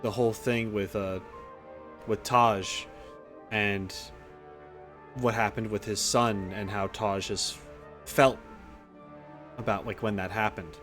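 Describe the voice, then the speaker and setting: A man commentating on a game playthrough as a streamer, casual and blunt, rambling and halting as he thinks aloud. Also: fairly low pitch, fairly even intonation, a bit bright. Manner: rambling, halting when reflecting, blunt and profane. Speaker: gaming streamer. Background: game music.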